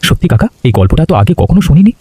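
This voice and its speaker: A man's voice: on the high side, big pitch swings, racing, mellow, husky, no room sound. A man playing an earnest, polite village family man in cartoon dialogue. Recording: music behind the voice.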